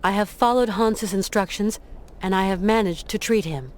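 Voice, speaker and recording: A woman's voice: medium pitch, normal speed, darkish tone, natural voice. An actress voicing a determined, caring young woman adventurer in a video game, earnest and firm. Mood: firm, defiant.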